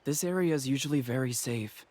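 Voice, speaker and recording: A man's voice: mid-range voice, fairly quick, darkish tone, close to an everyday voice. Man playing a fretful delivery man. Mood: uneasy. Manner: rambling, hesitant, trailing off. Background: game music.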